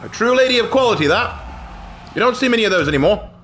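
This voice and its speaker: Man putting on a pompous posh British gentleman. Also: high-pitched, lively intonation, fast, soft background music, pitched above the performer's own voice. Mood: jolly pompous. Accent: put-on posh British. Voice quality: hammy, swearing, self-mocking. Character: pompous, snobbish, violent old-school British gentleman. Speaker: game streamer. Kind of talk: live gameplay commentary in character.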